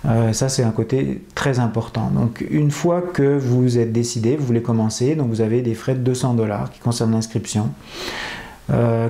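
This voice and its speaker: Mellow-voiced man in an echoey room. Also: medium pitch. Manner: hesitant, many fillers. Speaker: dating agency presenter. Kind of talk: explanatory talk to viewers.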